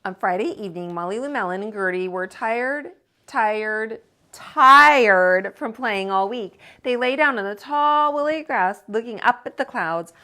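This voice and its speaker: A woman reading a children's picture book aloud as a storytime host, with expressive, drawn-out emphasis. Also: a little high.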